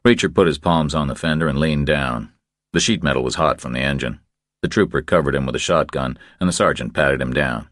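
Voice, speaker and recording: A man narrating a crime thriller audiobook in a measured, terse storytelling manner. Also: extremely low pitch, fairly bright tone, no echo, studio quality.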